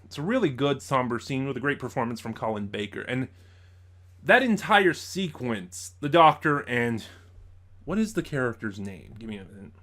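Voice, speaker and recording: Man giving a halting, informal review. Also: mid-range voice, sing-song, a bit bright. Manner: halting, thinking aloud, self-correcting. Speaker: audio drama reviewer.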